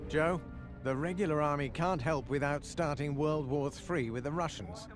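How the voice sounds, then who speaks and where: Actor as authoritative officer over music. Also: male voice, on the high side, moderate pace, close to an everyday voice. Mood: grave, briefing. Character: commanding American army officer, shrewd and earnest. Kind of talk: video game cutscene acting.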